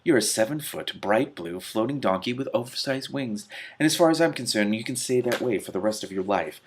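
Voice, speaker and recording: A man voicing a brisk, commanding witch with a stern, dry manner in a storybook. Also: medium pitch, animated, a little hiss or hum, close to an everyday voice. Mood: wry.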